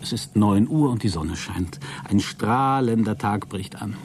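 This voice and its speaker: A man's voice: lowish voice, unhurried, creaky, a little mellow, slight background noise. An actor playing a smug, lecherous, delusionally upbeat male astronaut in a satirical science-fiction radio drama, pompous and wheedling in his grand speeches. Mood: grandiloquent, cheery.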